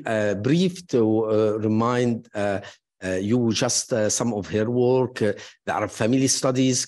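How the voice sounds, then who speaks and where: A man moderating an academic panel, introducing speakers in a halting way with many fillers and restarts, adding warm praise and personal asides. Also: lowish voice.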